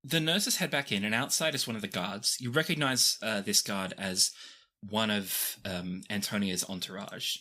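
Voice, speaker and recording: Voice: mid-range voice, normal speed, sharp tone. A game master improvising narration for a tabletop game in a playful, descriptive storytelling way.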